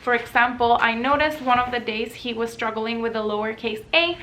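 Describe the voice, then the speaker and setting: A woman's voice: a little high, a little fast, pushed and bright, a little hiss or hum. A young woman with a Puerto Rican accent, whose first language is Spanish, casually showing off workbooks and rambling with lots of "um" and "like". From Puerto Rico. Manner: rambling, many "um" and "like". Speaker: homeschooling mom vlogger. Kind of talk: casual show-and-tell.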